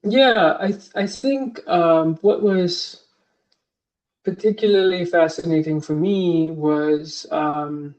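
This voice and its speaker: A man's voice: on the high side, takes it slowly, darkish tone, dull audio. A man in his thirties, from Singapore, answering interview questions as a guest professor, hesitant, with many fillers and restarts.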